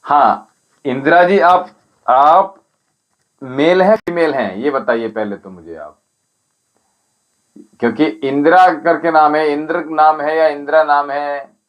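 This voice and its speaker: Man guiding viewers on a dull livestream. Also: on the high side. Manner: addresses viewers by name, measured and instructive. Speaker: spiritual teacher and Ayurvedic healer. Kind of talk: livestream answering viewer comments. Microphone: livestream setup.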